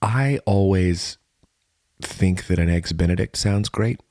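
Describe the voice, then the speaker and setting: Playful man with a low voice. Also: slow, a little mellow, dry recording, clean studio sound. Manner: playful, joking. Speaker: podcast co-host. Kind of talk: casual comic chat.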